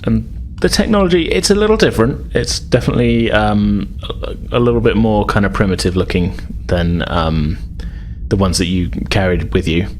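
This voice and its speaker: A man's voice: lowish voice, expressive, normal speed, a little mellow, a little hiss or hum. A man working as game master, improvising tabletop game narration in a conversational, hedging way.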